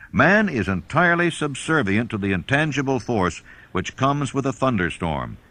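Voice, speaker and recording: A man reading a self-help audiobook as narrator, in a measured, instructional manner. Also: medium pitch, a bit bright.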